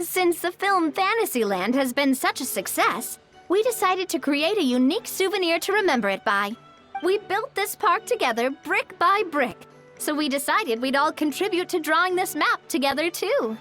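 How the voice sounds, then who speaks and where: A woman playing a sweet, encouraging, upbeat young lady in a video game cutscene, cheery and expressive. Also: very high-pitched, normal speed, soft background music, full character voice. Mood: warm, upbeat.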